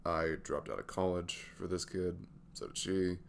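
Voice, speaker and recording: A man playing a sweet, weary young single dad in an intimate ASMR roleplay, confiding in a halting, soft-spoken way with trailing fillers and a deep gravelly voice. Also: fairly even intonation, slight background noise. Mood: wistful, confiding. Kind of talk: intimate ASMR roleplay, hesitant conversational delivery.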